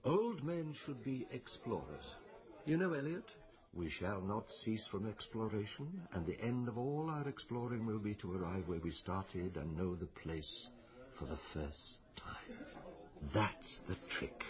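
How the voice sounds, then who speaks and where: An actor playing a sardonic older doctor in a radio drama, musing and theatrical in manner. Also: male voice, on the low side, expressive, unhurried, dull audio, a crowd in the background. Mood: reflective. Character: wry, sardonic old male doctor detective. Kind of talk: naturalistic radio drama acting.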